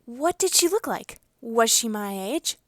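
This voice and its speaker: A woman's voice: a little high, a little slow, dry recording, studio quality. A woman voicing an eager, curious young girl in a children's storybook. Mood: excited. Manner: eager, excitable.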